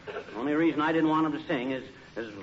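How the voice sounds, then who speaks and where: Actor as blustering, higher-pitched grumbly radio husband. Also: male voice, fast talker, a crowd in the background, close to an everyday voice. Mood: flustered. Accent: American. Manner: blustering, folksy, slangy. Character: grumbling, soft-hearted middle-aged husband, comic blusterer. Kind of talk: 1940s radio comedy acting. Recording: muffled, noisy old radio, studio audience.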